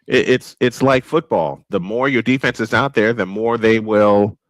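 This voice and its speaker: A man's voice: fairly low pitch, fairly even intonation, normal speed, no echo. A man calling live baseball play-by-play in a folksy, old-school broadcaster cadence. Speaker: play-by-play announcer.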